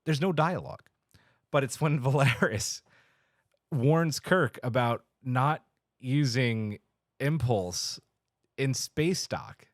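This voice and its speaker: A man co-hosting a podcast in casual, heartfelt chat, telling stories emphatically and haltingly. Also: mid-range voice, dry recording, clean studio sound. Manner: emphatic, halting, enthusiastic storytelling with acted-out quotes. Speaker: podcast co-host.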